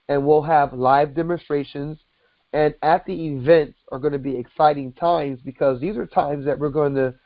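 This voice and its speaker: A man giving a sales presentation as a company president on a conference call, deliberately going through items step by step. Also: fairly high pitch, dry recording. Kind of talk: sales presentation, explaining packages and earnings. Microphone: conference call line. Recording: dull lo-fi conference call audio.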